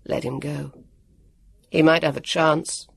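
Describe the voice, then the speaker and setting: A woman's voice: deep voice, level tone, takes it slowly, no echo, studio quality, heavily acted. Woman as an earnest, precise, dramatic boy. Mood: resigned. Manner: clear, precise, emphatic.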